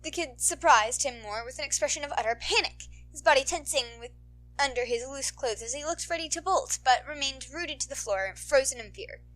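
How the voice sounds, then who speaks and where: A woman reading a story aloud as a narrator, in an expressive audiobook style. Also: high-pitched, brisk. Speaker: podfic narrator.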